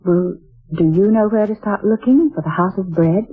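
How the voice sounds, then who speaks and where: An actress plays a fond, romantic wife who speaks with dreamy affection. It comes from an old radio drama, thin and tinny, with music clearly audible behind her. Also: mid-range voice, normal speed, natural voice. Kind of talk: 1940s radio drama acting.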